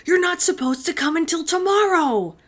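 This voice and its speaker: A woman's voice: extremely high pitch, lively intonation, normal speed, fairly bright tone, voice pushed up. A woman voicing a plucky man in a storybook who taunts with feigned nonchalance and defiance. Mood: shrieking.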